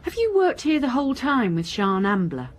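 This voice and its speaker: A woman playing a wry, probing police inspector in a radio crime drama, with dry, brisk questioning. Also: fairly high pitch, big pitch swings. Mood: businesslike.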